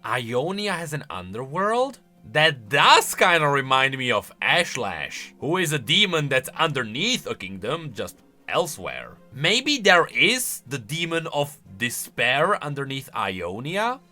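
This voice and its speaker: A man's voice: medium pitch, very animated, a little slow, pushed and bright, faint music behind. A man hosting a game lore channel with casual reaction commentary, musing aloud and leaning hard on key words.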